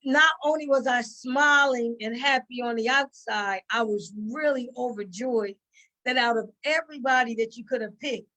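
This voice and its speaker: A mature woman from the United States chatting casually as an interview guest, telling stories with quoted asides and halting starts. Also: a little high, pushed and bright. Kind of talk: casual chat, storytelling.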